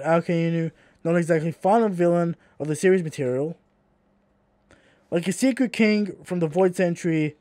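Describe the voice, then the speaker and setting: A man's voice: on the high side, warm tone, no room sound. A man with an Australian accent, co-hosting a podcast in casual chat, blunt and swearing freely as he rants. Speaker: podcast co-host.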